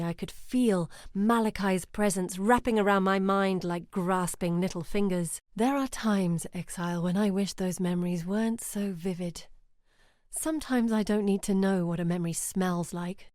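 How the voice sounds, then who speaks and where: A woman's voice: medium pitch, darkish tone, no room sound, clean studio sound, natural voice. An actress playing an earnest, brooding woman scholar in a video game, with a reflective, theatrical delivery. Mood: reflective, unsettled. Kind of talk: dramatic video game voice acting.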